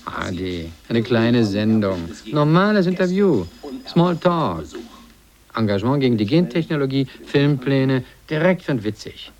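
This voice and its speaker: A man's voice: medium pitch, big pitch swings, measured pace, darkish tone, dull audio. A man acting a calculating, controlling media executive in a German radio drama, giving clipped, businesslike instructions. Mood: brisk, persuasive. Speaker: actor.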